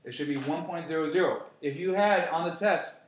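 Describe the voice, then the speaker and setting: Male chem teacher, slightly high voice, playful, very echoey classroom, noisy. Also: fairly quick, far from the mic. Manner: playful, joking with students. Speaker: chemistry teacher. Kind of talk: classroom review lesson.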